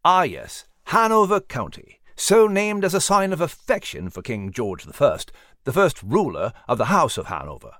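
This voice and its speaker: A man voicing a polite, scholarly gentleman mouse with a formal, professorial manner in an animal storybook. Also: on the high side, wildly swooping pitch, moderate pace, dry recording, clean studio sound. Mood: pleased, polite.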